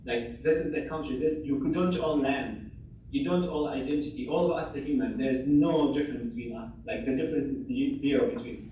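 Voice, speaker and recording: A man's voice: mid-range voice, fairly quick, mellow, dull audio, slight background noise. A young man from Syria, speaking English as an in an earnest, casual interview, full of fillers and restarted phrases. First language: Arabic. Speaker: interviewee, refugee and former assistant doctor. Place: home in Germany. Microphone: video call. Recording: echoey distant video-call audio.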